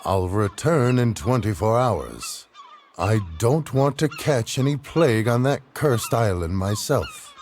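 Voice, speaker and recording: A man's voice: medium pitch, sing-song, slow, a little mellow, slight background noise. An actor playing a wary, plain-spoken ship's captain and worried father in a video game cutscene. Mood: grateful but wary.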